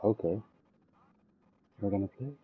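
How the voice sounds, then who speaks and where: A man's voice: lowish voice, measured pace, slightly rough, narrow, tinny audio. A man giving casual gameplay commentary.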